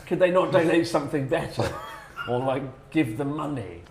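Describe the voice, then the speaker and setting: Guy telling a funny story to mates, sardonic n animated, roomy echo, noisy background. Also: fairly high pitch, moderate pace. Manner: animated, sardonic, acts out quotes. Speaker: storyteller, former English teacher. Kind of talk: casual comic anecdote told to friends.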